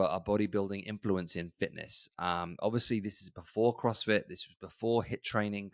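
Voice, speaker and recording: A man in his forties talks on his own podcast in a loose, rambling way, full of ums and restarts. His voice sits a little low. The sound is dry and close, with no room around it. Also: no echo. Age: 40s. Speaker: gym owner and podcast host. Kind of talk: casual solo podcast talk.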